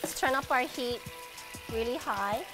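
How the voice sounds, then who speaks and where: An upbeat woman in her thirties walks viewers through a recipe in her kitchen, her voice sitting a little high. She sounds chatty and encouraging. Music plays clearly underneath. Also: unhurried. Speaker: cooking show host and restaurant owner. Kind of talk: cooking demonstration. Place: home kitchen, indoors. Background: background music and sizzling pan.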